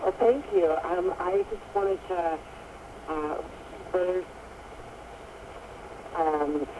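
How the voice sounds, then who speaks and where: A man's voice: high voice, very slow. A polite, hesitant man from Canada, born in Baghdad, chatting casually as a caller on a dull, noisy shortwave radio phone-in. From born in Baghdad, raised in Canada. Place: phone call to radio station.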